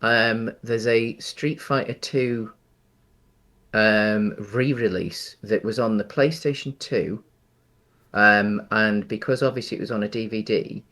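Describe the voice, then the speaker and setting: A man's voice: lowish voice, steady tone, takes it slowly, a bit bright. Tentative man chatting about films. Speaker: podcast co-host. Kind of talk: casual film discussion.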